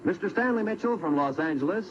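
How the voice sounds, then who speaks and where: Animated American announcer, noisy vintage radio. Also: male voice, sits high, quick pace, highs cut off.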